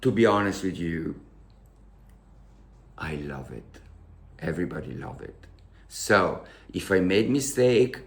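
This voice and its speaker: An Italian man speaks slowly and unhurriedly, pausing often and repeating words as he works through his English. His voice sits fairly low, and he talks with open emotion as a vlogger addressing his viewers. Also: a bit bright. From Italy. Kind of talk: casual storytelling to camera.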